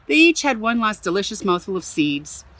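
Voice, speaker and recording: A woman reading a picture book aloud to children as a museum storyteller, animated and chatty, with little asides. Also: medium pitch, moderate pace.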